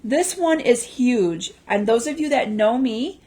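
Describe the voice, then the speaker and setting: Slightly raspy woman, chatty live host. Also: on the high side, expressive, a little slow, warm tone. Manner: chatty, lots of ums and restarts. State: slightly raspy from a lingering cough. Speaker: crafting livestream host. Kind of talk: casual live-stream chat. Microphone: livestream mic.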